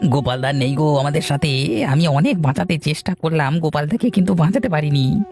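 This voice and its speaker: A man's voice: a little high, fairly quick, a little mellow, lightly acted. A man voicing a sly cartoon villager who laments in a whining, over-dramatic way. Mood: mock mourning. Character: sly villager feigning grief over a drowned friend. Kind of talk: melodramatic cartoon dubbing.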